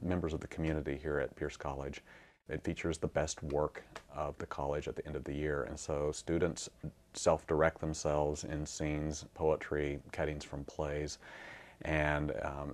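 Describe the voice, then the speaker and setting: A man's voice: rumbling low, darkish tone. A man who teaches drama, talking informally to camera. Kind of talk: informal interview-style talk to camera.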